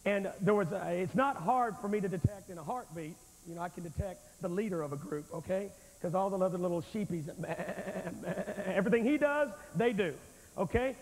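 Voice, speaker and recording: A man in his forties preaching a revival sermon on a large church stage with a handheld microphone, telling a story in an animated, confrontational way. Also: sits high, moderate pace, vocal fry. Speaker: evangelist preacher. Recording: long hall reverb, old VHS tape.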